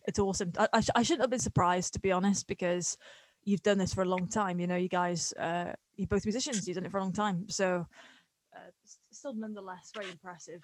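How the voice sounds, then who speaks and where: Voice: medium pitch, fairly even intonation, no echo. A podcast host interviewing a band, reacting eagerly and asking curious questions. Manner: enthusiastic, quick reactions.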